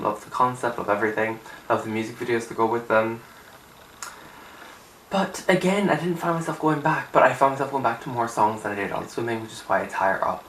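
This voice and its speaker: Young male vlogger, morning-raspy, chatty and emphatic; recorded from a distance in an echoey room, light hiss. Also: medium pitch, fairly bright tone. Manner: chatty, rambling, emphatic with filler "like". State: raspy from just waking up. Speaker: music vlogger. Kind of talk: casual opinion chat to camera. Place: small untidy room indoors. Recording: roomy, distant camera sound with faint hiss.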